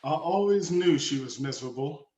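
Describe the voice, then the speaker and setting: A man's voice: fairly high pitch, expressive, normal speed, a little mellow, highs cut off, natural voice. Man reading a scornful nun, echoey room. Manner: disdainful. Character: scornful decorated nun, woman in late forties. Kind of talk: dramatic script reading. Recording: echoey room, faint noise.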